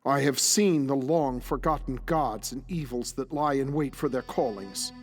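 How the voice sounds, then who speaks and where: A man's voice: mid-range voice, normal speed, faint music behind, close to an everyday voice. Portentous, doom-laden father, acted by a man. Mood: ominous, solemn. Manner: grave, portentous, theatrical. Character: solemn father prophesying doom to his son. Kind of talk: dramatic monologue in audio drama.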